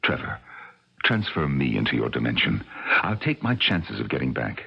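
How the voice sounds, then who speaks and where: An actor playing a desperate, middle-aged scientist in a radio drama, pleading to sacrifice himself, with a gravelly voice. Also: male voice, a bass voice, sing-song, normal speed, full character voice. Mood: pleading, urgent. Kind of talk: 1980 radio drama acting. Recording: dull lo-fi old radio broadcast.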